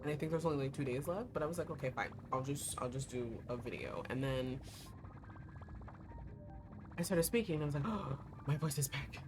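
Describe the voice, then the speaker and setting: Hoarse young woman streamer, chatty. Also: rumbling low, a little fast. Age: young adult. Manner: rambling, chatty, lots of fillers. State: hoarse, voice giving out. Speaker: VTuber streamer. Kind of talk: casual livestream chat while playing a gacha game. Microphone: desk streaming mic. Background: game music.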